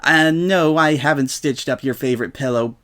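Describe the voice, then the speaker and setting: A man's voice: fairly high pitch, normal speed, fairly bright tone, natural voice. A man voicing a refined, theatrical female unicorn dressmaker as a mocking imitation, affected and fussy, in a story reading. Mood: fussy, apologetic.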